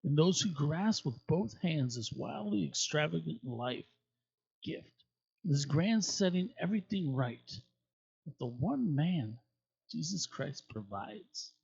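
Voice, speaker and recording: Husky man reading scripture aloud. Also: a little high, big pitch swings, slow pace, darkish tone, muffled recording. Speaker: scripture reader at a church.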